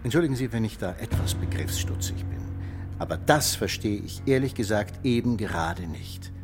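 A probing detective played by a male actor in a radio crime drama, with a lowish voice. He is elaborately polite while needling and insisting, over music in the background. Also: animated, moderate pace, lightly acted. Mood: accusing. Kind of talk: radio play acting.

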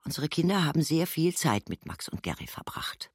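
A woman's voice: deep voice, big pitch swings, moderate pace, breathy edge, dry recording, heavily acted. Female trial witness, mother, sober, clipped delivery, documentary radio play, dry close sound. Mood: matter-of-fact recollection. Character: woman trial witness, a mother recalling holiday friends, matter-of-fact. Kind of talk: documentary radio play, reading court testimony.

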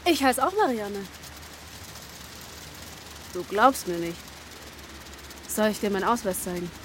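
A woman's voice: mid-range voice, animated, measured pace, a bit bright. An actress playing an odd, pushy and needy young female student in a radio drama, abrupt and searching in her speech. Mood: insistent, curious. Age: young adult. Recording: clearly noisy rainy street ambience.

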